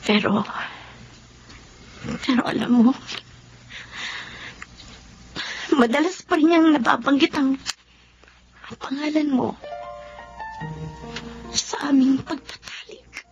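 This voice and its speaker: A woman with a Filipino accent playing a soft-hearted, remorseful young wife in a melodramatic radio drama. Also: sits high, takes it slowly, pauses often, soft background music. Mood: confessing. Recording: dull lo-fi radio recording.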